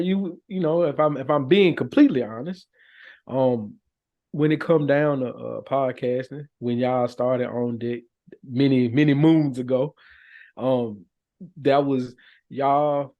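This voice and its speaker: A man who podcasts, talking casually and conversationally in everyday slang. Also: mid-range voice. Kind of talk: casual chat. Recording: dull, lo-fi audio.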